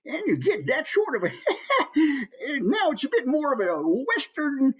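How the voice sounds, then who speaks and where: A man voicing an old Western prospector, gravelly and cackling, with a rounded sound held back in the throat, as a character demonstration. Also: extremely high pitch, wildly swooping pitch, measured pace, pitched above the performer's own voice, exaggerated voice. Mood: cackling folksy.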